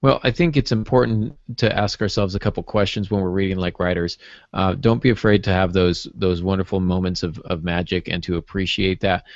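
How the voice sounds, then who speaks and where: A man co-hosting a podcast, chatting casually and giving advice in a measured, reflective way. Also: low voice, steady tone, vocal fry, dry recording. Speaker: podcast co-host, writer. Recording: dull, dry lo-fi podcast audio.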